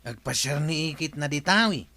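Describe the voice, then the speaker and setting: A man's voice: on the high side, expressive, moderate pace, pushed and bright. A man playing a nosy neighbour in an audio drama, chatting with an Ilocano accent. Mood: curious, teasing. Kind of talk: regional audio drama acting.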